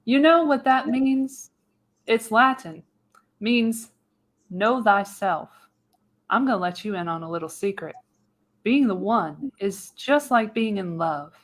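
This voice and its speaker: A woman's voice: medium pitch, expressive, slow, long pauses. Radio play role: older female seer, folksy, wry, knowing, acted by a woman at a table read.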